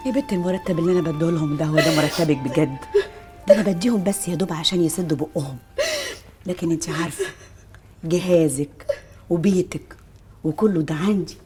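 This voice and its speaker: An actress with an Egyptian Arabic accent playing a bossy, motherly, sharp-tongued mistress of a household in a TV drama, scolding and insistent. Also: a little high, lively intonation, fast talker, music in the background, lightly acted. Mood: fond scolding. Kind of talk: scripted TV drama dialogue.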